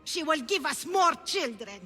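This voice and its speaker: Gloating cult priestess, bright high voice. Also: female voice, very animated, a little slow, full character voice. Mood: fervent, menacing. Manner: theatrical, gloating, menacing. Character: sadistic, gloating cult priestess who claims stolen children. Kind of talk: dramatic game cutscene acting. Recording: long reverb, music behind.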